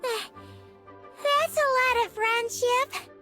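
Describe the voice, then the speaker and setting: A woman's voice: very high voice, fairly even intonation, drawn-out words, bright, forceful tone, soft background music, heavily acted. A woman voicing a small, childlike, skeptical fairy companion with a cute, questioning manner in an anime game. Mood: coy.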